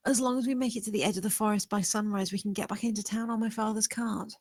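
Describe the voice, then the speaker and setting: A woman's voice: mid-range voice, fairly even intonation, fast talker, a little mellow, dry recording, lightly acted. A woman playing a defiant, determined young maid in a dramatic script read. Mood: urgent, reassuring.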